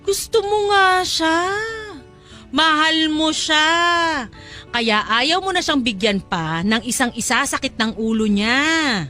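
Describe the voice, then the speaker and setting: Animated, fairly high actress as middle-aged mother, scheming, coaxing, pushy, radio-style drama. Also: slow pace, soft background music, full character voice. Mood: accusing, stern. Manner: coaxing, insistent.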